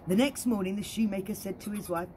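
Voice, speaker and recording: A woman, around her forties, reading a children's story in a playful, expressive way, with chatty asides like a children's entertainer. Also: medium pitch, slight background noise. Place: outdoor garden.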